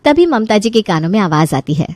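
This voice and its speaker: A woman narrating a scripted animated family story as its storyteller. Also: medium pitch, fairly quick, dry recording.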